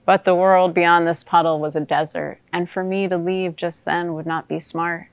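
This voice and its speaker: A woman performing a dramatic monologue as a wry, watchful female newt, in measured theatrical storytelling. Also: lowish voice, little pitch movement, clean tone, no room sound, lightly acted. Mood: somber, wry.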